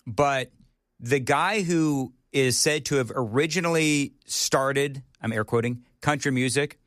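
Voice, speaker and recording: A man hosts talk radio in a loose, bantering way. His voice sits at an ordinary middle pitch and comes through very dry and close, with no room around it. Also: unhurried, a bit bright, dry recording. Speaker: radio talk show host.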